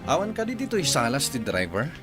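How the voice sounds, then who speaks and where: A man's voice: medium pitch, huge pitch swings, brisk, music in the background. A man acting a bossy, impatient master of the house in a radio drama, with an Ilocano Filipino accent.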